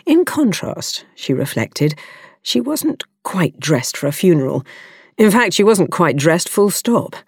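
A woman's voice: lowish voice, sing-song, a little slow, breathy edge, dry recording, clean studio sound. A woman reading a novel aloud as an audiobook, voicing the characters' lines with expression. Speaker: audiobook reader.

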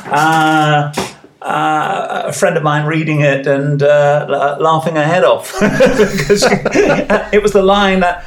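A man being interviewed casually, talking in a halting, hesitant way. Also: on the high side, a bit bright, a little hiss or hum. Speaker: interview guest, writer. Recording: echoey room.

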